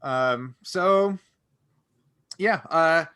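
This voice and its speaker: A man's voice: fairly high pitch, very slow, sharp tone, clean tone. A man hosting a casual panel chat in an informal, joking manner. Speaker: podcast host.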